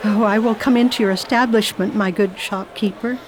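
A woman's voice: medium pitch, fairly even intonation, normal speed, close to an everyday voice, low-quality recording. A woman playing a genteel, inquisitive lady traveller who acts and narrates in a radio drama. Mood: bright, polite. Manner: polite, inquisitive, exclamatory. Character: genteel, curious well-off English lady traveller. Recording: faint background noise, ambience.

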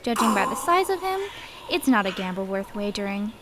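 An actress playing a bold, shrewd young warrior woman in a radio play, confident and brisk in manner. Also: mid-range voice, big pitch swings, background noise, close to an everyday voice. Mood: commanding. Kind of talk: theatrical radio drama acting.

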